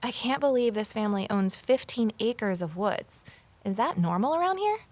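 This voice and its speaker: An actress playing a curious, eager former journalist in an audio drama, with a conversational, inquisitive delivery. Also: female voice, a little high, expressive, moderate pace. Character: curious female ex-journalist, eager new conservation worker. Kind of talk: audio drama dialogue and first-person narration. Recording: clear background noise, outdoor ambience.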